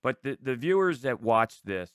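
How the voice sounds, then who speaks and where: A man's voice: medium pitch, sing-song, moderate pace, clean tone, no echo, studio quality. A middle-aged man casually hosting an interview, effusive and complimentary toward the people he talks with. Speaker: talk show host.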